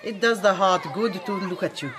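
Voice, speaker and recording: A woman's voice: mid-range voice, moderate pace, a crowd in the background, noisy background, close to an everyday voice. An actress playing a gracious, benevolent French Mother Superior in a radio drama. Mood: amused, benevolent.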